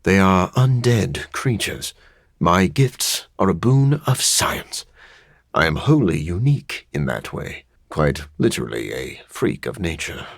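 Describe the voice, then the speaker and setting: A low, husky video game vampire, brooding and scholarly. He speaks formally with deadpan, sardonic wit, and his pitch swings dramatically through a gravelly rasp. The sound is close and dry. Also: a little slow, no room sound, full character voice. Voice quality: raspy, gravelly. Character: brooding, dryly witty scientist turned living vampire. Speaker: doctor and scientist. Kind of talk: video game character voice lines.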